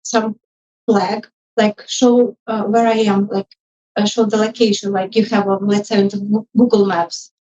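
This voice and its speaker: A woman's voice: medium pitch, little pitch movement, a little slow, a little mellow. A woman who is not a native English speaker, giving informal feedback from the audience in a hesitant, tentative, apologetic way. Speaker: audience member, junior UX designer. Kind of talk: informal spoken feedback and questions. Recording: muffled live event audio, distant mic.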